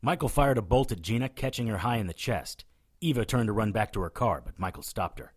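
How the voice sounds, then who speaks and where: Actor as a low-voiced, dry witch hunter. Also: lively intonation, fairly quick, natural voice. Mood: grave narrating. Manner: dry, sardonic. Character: wry, cocky male witch hunter narrating his adventures. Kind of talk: first-person audio drama narration and dialogue.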